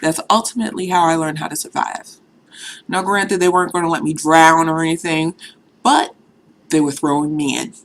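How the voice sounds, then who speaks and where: A woman's voice: sits low, fairly even intonation, normal speed. A woman in her thirties from Boston, chatting candidly in a casual vlog with a faint Boston accent that shows now and then, full of "you know.". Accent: light Boston. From Boston, USA. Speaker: vlogger. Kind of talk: casual talking-to-camera vlog.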